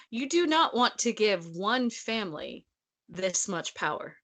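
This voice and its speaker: A woman's voice: medium pitch, very animated, takes it slowly, a bit bright. A woman co-hosting a casual book discussion, talking in a blunt, emphatic, sarcastic way. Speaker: podcast co-host discussing a novel.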